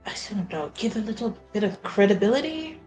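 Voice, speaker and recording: A woman playing a tabletop roleplaying game, talking in a hesitant, halting way as she searches for words. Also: mid-range voice, expressive, a little mellow, soft background music. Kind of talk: unscripted in-character roleplay and table talk.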